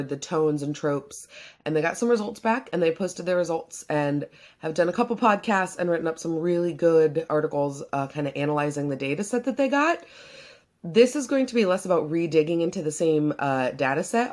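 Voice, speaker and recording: A young woman with a congested voice from a cold, chatting casually as a book vlogger and explaining things at length with plenty of ums and uhs. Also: deep voice. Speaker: BookTube vlogger. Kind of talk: casual vlog commentary.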